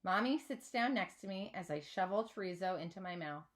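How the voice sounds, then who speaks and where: A woman reading a children's novel aloud like a teacher, with expressive, dramatised narration. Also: medium pitch, fairly quick.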